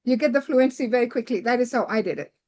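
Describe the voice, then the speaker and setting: A woman's voice: a little high, fast, a little mellow. A woman giving a direct, instructive lecture to doctors preparing for a medical licensing exam, stringing her points together with "so".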